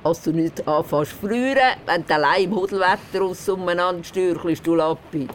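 An older woman with a Swiss German accent playing a gruff but caring grandmother in a radio play, brusque and teasing. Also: fairly low pitch, normal speed, slight background noise, close to an everyday voice.

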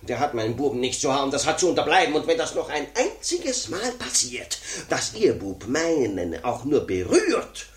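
An actor playing an indignant, hot-tempered father who sputters and shouts in a 1950s comic radio play. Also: medium pitch, wildly swooping pitch, normal speed, hardly any pauses. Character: blustering hot-tempered father, squabbling over his young son. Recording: roomy, distant 1950s radio recording.

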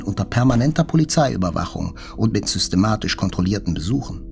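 A man narrating a literary first-person story for a radio drama in a measured, reflective manner. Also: fairly low pitch, vocal fry.

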